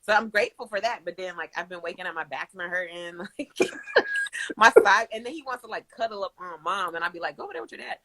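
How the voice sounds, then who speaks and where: A young woman from Kentucky. Her voice is bright and forward, and she tells her stories in an animated, laughing way. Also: lowish voice, fast. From Kentucky, USA. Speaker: guest. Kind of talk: casual interview.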